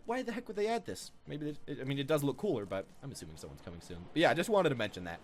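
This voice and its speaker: A man's voice: mid-range voice, sing-song, rapid-fire, slight background noise. A man giving casual, off-the-cuff gaming commentary as a video host, rambling and repeating his own phrases.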